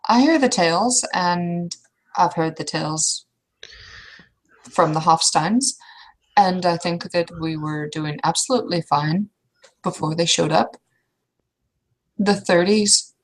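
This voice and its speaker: A woman's voice: lowish voice, poor audio. A woman playing an opinionated, experienced troll mentor in an improvised roleplay, speaking bluntly and informally as she advises. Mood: disapproving. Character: opinionated, world-wary elder troll mentor, advising a newcomer. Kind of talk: improvised in-character roleplay dialogue.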